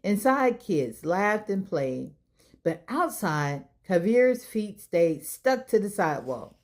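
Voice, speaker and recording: Slow, lively woman reading to children. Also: medium pitch. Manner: expressive, addressing children directly. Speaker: storytime reader and host. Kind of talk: children's read-aloud narration.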